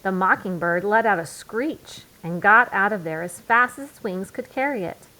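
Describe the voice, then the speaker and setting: A woman narrating a children's storybook aloud in a deliberate way, with emphatic pauses. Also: mid-range voice, expressive, pushed and bright, low-quality recording. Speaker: children's book reader.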